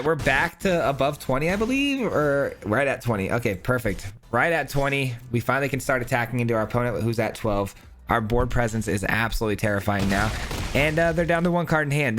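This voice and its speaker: A man giving casual, upbeat commentary while playing a card game, thinking aloud, his voice hoarse as he loses it. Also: mid-range voice. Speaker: gaming channel host. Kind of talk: casual live gameplay commentary.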